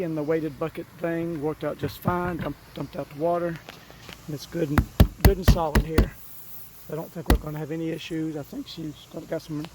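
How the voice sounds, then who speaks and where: A man, his voice rough from a cold, casually narrating his DIY roof work outdoors in a folksy, rambling way. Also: fairly high pitch, a little mellow, a little hiss or hum, low-quality recording. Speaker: DIY vlogger. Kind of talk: casual narration while working.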